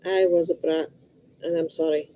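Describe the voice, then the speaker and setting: A woman's voice: deep voice, level tone, normal speed, dark tone, slight background noise, poor audio. A middle-aged woman with a Liverpool accent, chatting casually and bluntly to her viewers in a rambling way. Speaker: vlogger. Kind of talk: casual chat to camera.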